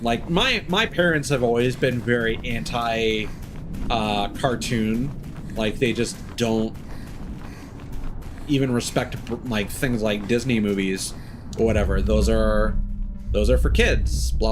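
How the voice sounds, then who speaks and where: A man's voice: lowish voice, background noise. A man in his thirties chatting casually and bluntly as he livestreams a video game. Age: 30s. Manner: opinionated, blunt, casual swearing. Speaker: game live streamer. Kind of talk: casual livestream chat over gameplay. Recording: music behind the voice. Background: game music.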